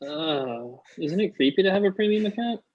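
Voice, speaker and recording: A man's voice: high-pitched, moderate pace, darkish tone, highs cut off. A man acting a skeptical, blunt friend at a comedy table read, questioning and wry.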